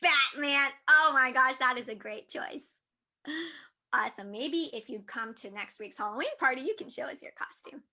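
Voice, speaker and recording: A woman's voice: high voice, normal speed. A woman moderating an online book panel, upbeat and encouraging as she asks a young author questions. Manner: upbeat, encouraging, talks gently to a child.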